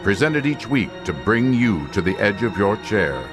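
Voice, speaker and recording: A low male announcer introduces a drama series in vintage radio fashion, with music clearly behind him. His delivery is portentous and measured, swooping dramatically in pitch. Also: very animated. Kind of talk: old-time radio announcing.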